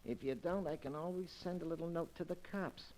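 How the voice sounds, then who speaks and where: An actor playing a callous, menacing small-time burglar who talks in tough slang and clipped commands, in a 1940s radio crime drama. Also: male voice, on the high side, brisk, darkish tone, natural voice. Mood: threatening. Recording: noisy vintage 1940s radio recording.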